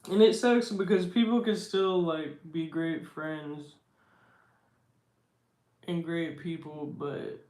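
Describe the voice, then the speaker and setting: A young man with a baritone voice, chatting slowly and reflectively on his livestream. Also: fairly even intonation. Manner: slow, reflective and soft-spoken. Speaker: livestream host. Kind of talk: casual livestream chat reading comments. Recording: roomy echo.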